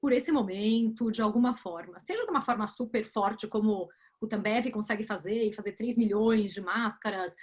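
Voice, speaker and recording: A woman's voice: mid-range voice, moderate pace, hardly any pauses, slightly rough. A woman speaking as a panelist over a video call, making her points emphatically with examples. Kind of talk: webinar panel discussion.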